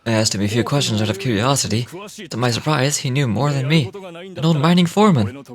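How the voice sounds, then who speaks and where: A man's voice: mid-range voice, fairly quick, crowd noise, lightly acted. An actor playing a talkative, self-assured middle-aged foreman in a fantasy game. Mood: explanatory.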